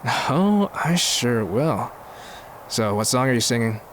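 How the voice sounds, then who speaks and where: A man's voice: mid-range voice, very animated, takes it slowly, fairly bright tone, a little hiss or hum. A man playing a suave, smitten rich man on a date in an audio drama. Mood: smitten, smooth.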